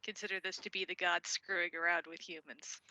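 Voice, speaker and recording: A woman's voice: on the low side, little pitch movement, a little fast, sharp tone, no room sound, low-quality recording. A woman playing a tabletop game, earnestly arguing her character's case. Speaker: player.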